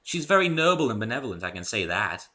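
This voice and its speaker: A refined, good-natured lady unicorn from a cartoon story, acted out by a man. The voice is lifted and bright. She speaks with elegant, theatrical affection. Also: on the low side, sing-song, fast talker, pitched above the performer's own voice. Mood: gracious. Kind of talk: dramatic story reading.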